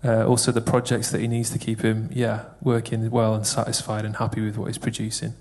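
This is a low-voiced man praying aloud in church. He keeps a flat, steady tone, earnest and repetitive, addressing God again and again. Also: brisk. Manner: earnest, repetitive prayer phrasing. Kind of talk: spoken prayer.

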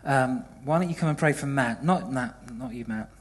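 A man's voice: mid-range voice, normal speed, breathy edge. A man leading a church service, interviewing a member of the congregation in a jokey, upbeat way. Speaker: church leader hosting.

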